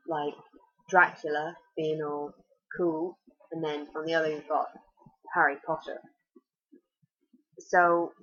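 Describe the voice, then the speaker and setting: Low-voiced Fermanagh girl on a dull webcam. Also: breathy edge. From Northern Ireland. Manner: chatty, blunt and wry. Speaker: vlogger. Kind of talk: casual accent-tag video. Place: small bedroom indoors. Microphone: webcam at desk.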